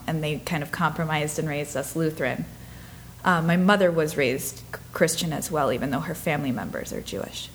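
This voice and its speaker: A woman in her twenties from Minnesota, telling her life story in an interview, earnest and reflective, sometimes dropping to soft breathy asides. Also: fairly low pitch, a little hiss or hum. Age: 20s.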